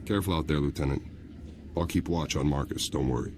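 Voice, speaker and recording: Very deep-voiced, easygoing military man. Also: fast, very noisy. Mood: low-key, reassuring. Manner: chatty, candid, informal. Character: easygoing, loyal male military officer. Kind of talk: video game character dialogue.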